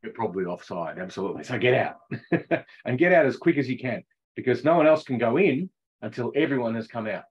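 A man's voice: medium pitch, breathy edge. A middle-aged man coaching ice hockey, explaining the rules in a chatty online session and laughing at his own jokes. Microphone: video call. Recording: dull lo-fi video call audio.

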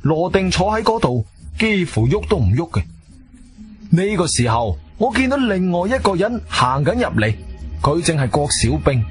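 An actor playing an observant male adventurer who narrates his strange experience in a radio drama, suspenseful and deliberate, with a Guangzhou Cantonese accent. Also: high voice, big pitch swings, faint music behind. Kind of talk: dramatic first-person story narration.

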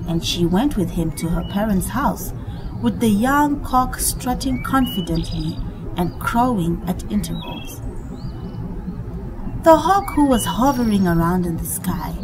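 A woman narrating a scripted folktale for children in an expressive, dramatic storytelling manner. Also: medium pitch, background noise. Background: background music.

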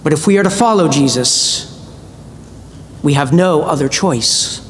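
A middle-aged male priest giving a measured, earnest sermon from a church pulpit, pressing on key words with slow emphasis. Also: expressive. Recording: reverberant church with faint rumble.

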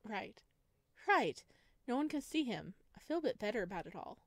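A woman's voice: fairly high pitch, sing-song, normal speed, no echo. Woman reading a story aloud, dry sound. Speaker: gaming video host. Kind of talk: expressive read-aloud narration of a visual novel with casual asides.

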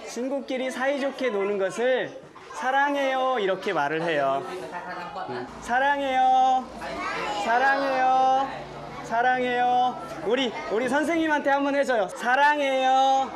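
A man's voice: very high-pitched, unhurried. A man on location in a floating village classroom, playfully teaching children a few words, recorded on a lapel mic. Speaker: travel presenter visiting a school. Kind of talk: casual on-location talk. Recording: on-location with music and crowd noise. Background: children's voices and music.

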